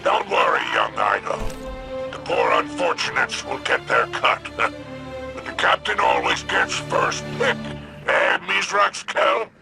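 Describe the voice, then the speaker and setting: An actor playing a greedy, cynical alien crime boss with a gravelly, sneering drawl in a video game cutscene. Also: male voice, medium pitch, huge pitch swings, unhurried, music in the background, full character voice. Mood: sly, mocking.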